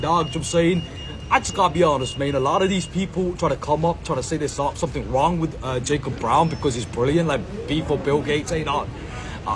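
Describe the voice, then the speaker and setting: Man, fairly high voice, rambling slangy street vlog, lots of fillers, loud traffic noise behind. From Sri Lanka. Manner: meandering slang-filled talk with many fillers and repeated catchphrases. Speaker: content creator. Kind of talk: rambling self-filmed monologue. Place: outdoors in a public space.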